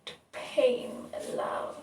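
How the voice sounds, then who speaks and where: A woman reciting her own poem in a dramatic, deliberate way, with pauses between phrases. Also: a little mellow, a little hiss or hum. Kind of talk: poetry recitation. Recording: echoey room, distant mic.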